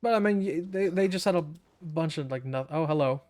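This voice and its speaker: A man's voice: fairly high pitch, fairly quick, darkish tone. A young man giving casual, sweary let's-play commentary in an irreverent, offhand, slangy way. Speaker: gaming streamer.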